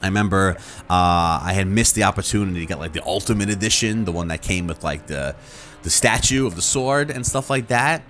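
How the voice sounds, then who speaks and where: A man hosting a gaming channel, talking casually and off the cuff in a rambling, halting way with repeated words. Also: low voice, fairly bright tone, faint music behind. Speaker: YouTube gaming channel host. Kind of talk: casual, unscripted chat to viewers.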